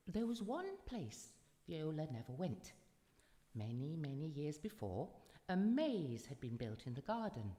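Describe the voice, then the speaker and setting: A woman narrating a scripted fairy-tale radio drama in a dramatic storytelling manner. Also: sits low. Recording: echoey hall, music behind.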